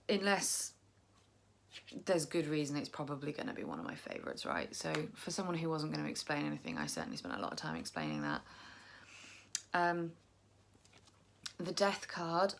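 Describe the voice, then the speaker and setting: A low-pitched young woman with a croaky, gravelly edge from tiredness. She rambles casually to camera and laughs at her own over-explaining. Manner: chatty, self-deprecating, rambling. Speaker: tarot vlogger.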